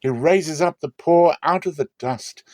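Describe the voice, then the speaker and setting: A man voicing a rejoicing woman praying in triumph in a dramatic scripture reading, proclaiming with slow stressed phrases. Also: fairly high pitch, darkish tone, dry recording, voice pushed up, lightly acted. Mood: exultant.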